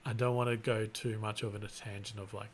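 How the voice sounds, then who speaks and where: A man hosting a live stream, chatting casually in a flat, rambling way and often restarting his sentences. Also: fairly low pitch, a little fast, a little hiss or hum. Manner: self-described monotone, rambling with restarts. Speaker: live-stream host and content creator.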